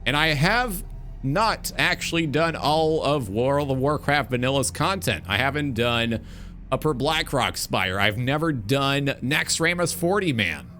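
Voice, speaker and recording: A man's voice: medium pitch, animated, unhurried, bright, forceful tone. A man in his twenties hosting a livestream, talking in a casual, emphatic, rambling way with some swearing. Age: 20s. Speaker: streamer and talk show host. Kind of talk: casual livestream rant about video games. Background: background music.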